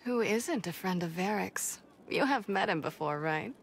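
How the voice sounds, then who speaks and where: Woman acting as breezy dwarf expert, medium-pitched voice, brisk, teasing, self-assured, fantasy game dialogue. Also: lively intonation, slight background noise, close to an everyday voice. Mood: breezy, wry. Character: confident, teasing female dwarf expert, breezy and sharp-witted.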